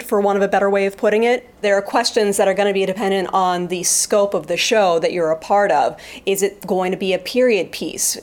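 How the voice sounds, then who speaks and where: A woman talking reflectively in an interview as a Foley artist, articulate and thoughtful, with measured phrasing. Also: on the low side. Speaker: guest, Foley artist and theater performer.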